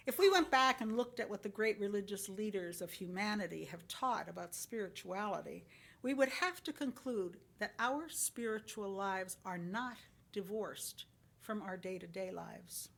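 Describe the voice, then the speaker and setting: A woman reading a sermon from a script as a lay church speaker, in earnest, deliberate phrases. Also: medium pitch, creaky, a bit bright. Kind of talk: sermon, read from a script with short personal commentary.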